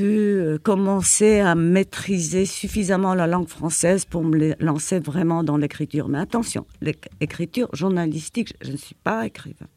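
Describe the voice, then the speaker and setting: A woman's voice: fairly low pitch, a little mellow, no room sound. An older woman, not a native French speaker, answering interview questions in a reflective, hesitant way with many fillers and repeated words. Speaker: interviewee, former journalist.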